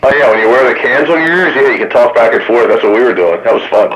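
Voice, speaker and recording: A man's voice: mid-range voice, expressive, fast talker, over a noisy crowd. A man chatting casually and telling joking anecdotes over a two-way radio. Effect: two-way radio transmission. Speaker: amateur radio operator chatting on a radio round table. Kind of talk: casual chat. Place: radio transmission picked up by a receiver. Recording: muffled, staticky radio reception with faint noise.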